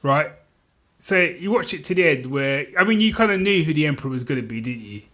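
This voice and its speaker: A man's voice: fairly high pitch, lively intonation, a little fast, bright, forceful tone. A British man chatting informally as a podcast co-host, often checking that his listener follows. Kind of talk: casual chat.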